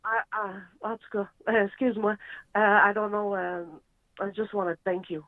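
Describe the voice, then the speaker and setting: A Quebec French woman calls in over a tinny phone line. She speaks English as a second language, hesitant and apologetic, filling gaps with "uh." Her pitch sits in the middle. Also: steady tone, moderate pace. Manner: hesitant, apologetic, with many fillers. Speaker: caller.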